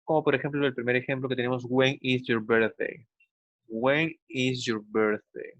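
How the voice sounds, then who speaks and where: A man teaching an English class for children, slowly enunciating examples and often checking in with short tag questions. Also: mid-range voice, steady tone, fairly bright tone, no room sound, lo-fi sound. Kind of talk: instructional online class for children.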